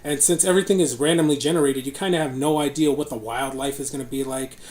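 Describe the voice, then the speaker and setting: A man's voice: medium pitch, steady tone, fast, a little mellow. A young man hosting a casual podcast, rambling with fillers and laughing often. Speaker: podcast host. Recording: echoey room, faint noise.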